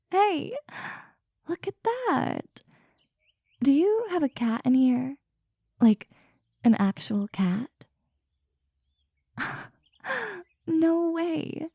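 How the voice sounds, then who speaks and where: A woman performing an intimate scripted audio roleplay, talking softly and teasingly and often dropping into a whisper, close to the mic. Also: a little high, vocal fry, slightly rough, dry recording.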